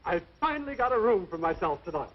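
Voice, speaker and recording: An actor playing a fussy, apologetic hotel clerk in an old-time radio comedy. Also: male voice, high voice, big pitch swings, fast. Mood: eager, obliging. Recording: dull, noisy old radio recording.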